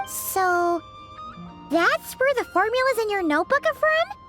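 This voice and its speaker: A woman voicing a small, childlike, floating game companion, squeaky, eager and inquisitive. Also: sing-song, a little slow, over music, full character voice. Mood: curious. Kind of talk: scripted game dialogue.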